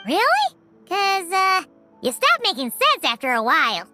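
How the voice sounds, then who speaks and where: A tiny, cheeky fairy companion from a video game. The voice is extremely high and childlike, with lively swoops and a perky, teasing bluntness. Loud music plays behind it. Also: female voice, normal speed.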